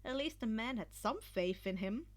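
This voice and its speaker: A woman reading a fan fiction story aloud as expressive scripted narration. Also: a little high, normal speed. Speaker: podfic reader.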